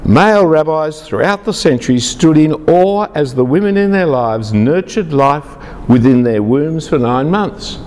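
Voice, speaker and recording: A man's voice: fairly high pitch, expressive, measured pace, darkish tone. Man lecturing in an echoey hall. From Australia. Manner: chatty, teasing asides to the audience. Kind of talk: lecture to a live group.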